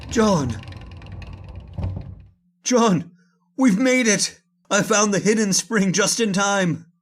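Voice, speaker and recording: A man playing an urgent, commanding gentleman master in an old-time radio horror drama. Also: high voice. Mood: frantic. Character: urgent, commanding gentleman trapped with his servant.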